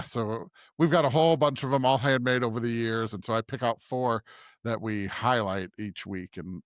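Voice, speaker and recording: American man, mid-range voice, casual pastor co-host, halting conversational delivery, dry recording. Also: medium pitch, no room sound. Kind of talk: casual podcast chat.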